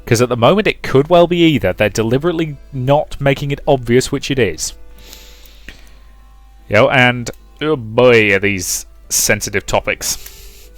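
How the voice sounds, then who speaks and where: A man's voice: medium pitch, moderate pace, dry recording. A man hosting a let's play, reading the game's story aloud and commenting on it casually. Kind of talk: casual game commentary and dramatic read-aloud narration. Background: music.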